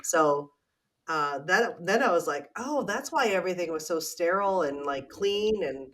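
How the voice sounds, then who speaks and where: A woman's voice: on the low side, lively intonation, moderate pace, fairly bright tone. A woman in her forties from Canada, a guest on a podcast, telling her story openly in casual conversation. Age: 40s. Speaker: podcast guest, real estate investor. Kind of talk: casual interview chat.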